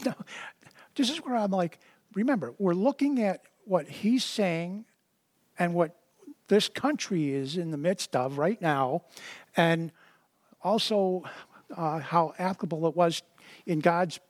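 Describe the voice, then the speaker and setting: A man with a high voice gives a sermon. He reads scripture slowly and deliberately and then talks it through casually, often repeating a word or two as he gets going. The recording is dry and close. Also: dry recording. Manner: conversational, repeats words when starting sentences. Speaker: preacher.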